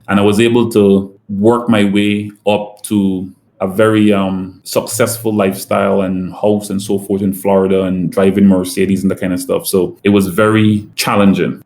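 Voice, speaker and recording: A man from Guyana talks through his business in an interview. His voice sits fairly low, and he answers in a measured way, walking through examples step by step. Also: darkish tone. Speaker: company president, guest.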